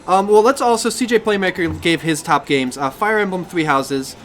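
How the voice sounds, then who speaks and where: A man's voice: sits high, moderate pace, a bit bright, a little hiss or hum. A man co-hosting a gaming podcast in a casual, conversational style. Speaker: podcast co-host.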